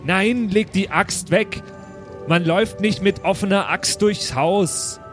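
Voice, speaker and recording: Man playing a meddling, higher-voiced teenage dwarf. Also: takes it slowly. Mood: bossy. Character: chatty, meddling teenage male dwarf brother. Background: background music.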